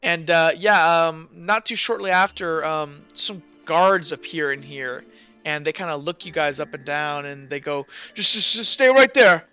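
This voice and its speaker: Bright, halting male game master. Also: on the high side, fairly even intonation, lo-fi sound, faint music behind. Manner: halting, circling back over phrases. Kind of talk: improvised recap and scene narration for a tabletop game.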